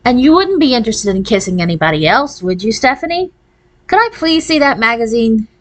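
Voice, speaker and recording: A woman's voice: fairly high pitch, big pitch swings, a little fast, pitched above the performer's own voice. A woman voicing a blunt, bossy fifth-grade girl with a dry wit in a children's storybook. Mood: sardonic. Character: Kate, brisk, sardonic fifth-grade girl, movie buff.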